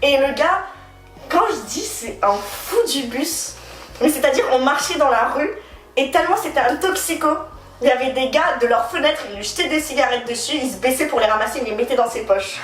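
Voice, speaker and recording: A woman's voice: high voice, brisk. Young woman telling stories, roomy and distant. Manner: animated, slangy French with English phrases mixed in. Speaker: guest on a talk show. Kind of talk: casual anecdote storytelling. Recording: roomy echo, distant mic, background music.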